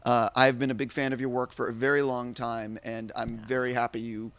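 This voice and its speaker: A man's voice: mid-range voice, level tone, brisk, a bit bright, smooth, no room sound. A man interviewing in a studio, speaking English as a second language, eager and full of fillers and restarts. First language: not English. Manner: enthusiastic, hesitant with many fillers and restarts. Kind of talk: casual interview. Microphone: studio microphone.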